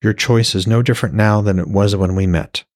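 A man voicing a gentle, loving young woman in a fantasy audiobook, speaking softly and earnestly with sad resolve. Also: on the low side, steady tone, a little fast, no echo, clean studio sound, natural voice. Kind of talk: fantasy audiobook character dialogue.

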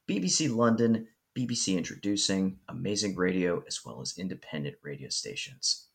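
A man hosting a podcast, speaking in a measured, articulate way as he reads introductions and interviews a guest. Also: on the low side, vocal fry, a bit bright. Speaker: podcast host.

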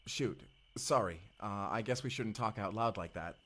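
A man's voice: lowish voice, moderate pace, pushed and bright. A man playing an earnest, affectionate young male time traveler, speaking to the listener in an audio roleplay. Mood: awkward, cautious. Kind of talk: first-person audio drama dialogue, spoken to the listener.

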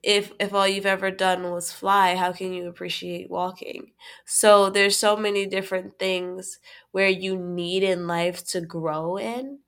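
A woman co-hosting a casual podcast chat, stuffy from a cold, animated and quick to laugh, often restarting her sentences. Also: medium pitch. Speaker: podcast co-host.

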